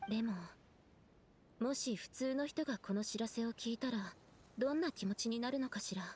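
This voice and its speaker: An actress playing a solemn, gentle elder sister in a fantasy game, speaking in a measured, grave way. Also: female voice, fairly high pitch, slight background noise, natural voice. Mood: solemn, resigned. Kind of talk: Japanese game voice acting.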